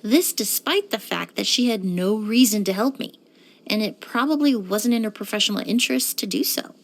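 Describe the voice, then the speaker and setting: An actress voicing a poised young woman perfumer in a video game, speaking in a measured, articulate and refined way. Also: medium pitch, animated, vocal fry. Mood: fond, appreciative. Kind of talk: scripted video game character monologue.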